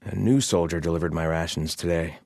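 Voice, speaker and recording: A proud, devout soldier living in exile in a fantasy game. His voice is on the low side and recorded dry and close. He speaks sternly and formally, with open disdain. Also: fairly quick, vocal fry, a little mellow, no echo. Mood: stern, disdainful. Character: haughty, devout male Carja soldier in bitter exile. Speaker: video game voice actor. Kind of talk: video game character voice lines.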